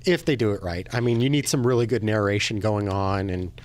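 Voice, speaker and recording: A man's voice: lowish voice, brisk. A man co-hosting a podcast, chatting casually about games and films. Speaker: podcast co-host.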